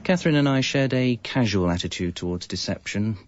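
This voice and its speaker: An actor as a low-voiced, wry British husband narrator. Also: male voice, a little fast, a little hiss or hum, lightly acted. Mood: confiding, deadpan. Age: 30s. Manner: deadpan, conversational. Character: wry, evasive young husband and father confessing his double life. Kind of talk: scripted comic narration. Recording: dull, lo-fi radio audio. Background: music.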